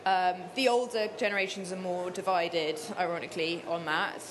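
A woman's voice: fairly low pitch, fairly even intonation, moderate pace, background noise. A woman author answering interview questions thoughtfully, with many fillers and restarts. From Cyprus. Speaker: author guest.

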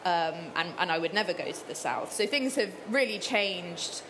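A woman's voice: on the low side, expressive, moderate pace, noisy background. A woman author answering interview questions thoughtfully, with many fillers and restarts. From Cyprus. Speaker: author guest.

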